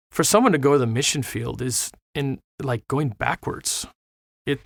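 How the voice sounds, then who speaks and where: A man's voice: a little high, fairly bright tone, dry recording, clean studio sound. A middle-aged missionary man in an earnest podcast conversation, talking with preacher-like passion and repeating words as he drives points home. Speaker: missionary guest.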